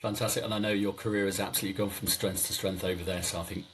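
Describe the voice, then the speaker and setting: A man with a very deep voice hosts a podcast, sounding enthusiastic while stumbling over ums and restarts. He sits a bit back from the mic, with faint noise behind him. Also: flat delivery, fairly bright tone, recorded from a distance. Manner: enthusiastic, halting, many ums. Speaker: podcast host. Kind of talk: podcast interview.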